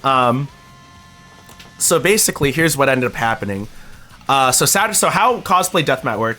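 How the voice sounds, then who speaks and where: A young man streaming, talking casually to his chat, excitable and swearing freely. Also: medium pitch.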